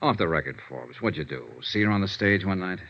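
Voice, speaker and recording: An American actor playing a world-weary but sympathetic insurance investigator in a vintage radio detective drama, terse and dry in delivery. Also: male voice, low voice, brisk. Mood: blunt, probing. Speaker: detective narrator and lead. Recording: dull vintage radio recording with music.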